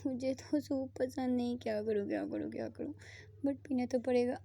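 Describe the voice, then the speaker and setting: A woman's voice: on the high side, fairly even intonation, normal speed, vocal fry, mellow, dry recording. A woman voicing a fussy, over-dramatic young woman who refuses the soup she is given. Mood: fretful.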